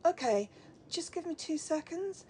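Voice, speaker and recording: A woman plays a breezy, motherly receptionist in an audio drama. Her delivery is cosy and sing-song, swinging widely in pitch, over faint ambient noise. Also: lively intonation, a little slow, a little mellow. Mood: helpful, breezy. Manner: sing-song, cosy, calls people love. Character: chatty, breezy, motherly female office receptionist.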